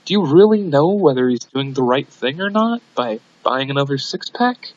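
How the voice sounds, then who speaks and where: A man's voice: medium pitch, animated, normal speed, a little mellow, no echo. Man reading aloud, slightly muffled sound. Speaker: audiobook reader. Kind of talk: scripted narration, amateur audiobook reading.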